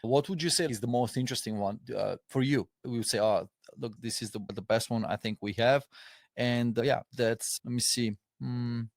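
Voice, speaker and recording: A man's voice: medium pitch, fairly even intonation, normal speed, vocal fry, no room sound, studio quality. A man hosting an interview, asking casual, rambling questions that he rephrases as he goes. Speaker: interviewer, host.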